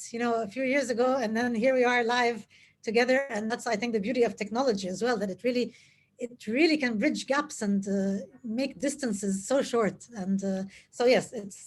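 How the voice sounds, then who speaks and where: Enthusiastic female host on a video call, a little high-pitched, repeats for emphasis. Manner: upbeat, enthusiastic, repeats phrases. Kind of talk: live video interview.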